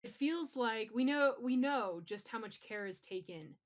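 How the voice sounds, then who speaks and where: A woman's voice: on the high side, lively intonation, a little slow. A woman co-hosting a podcast in casual pop-culture chat, conversational and opinionated. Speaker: podcast co-host.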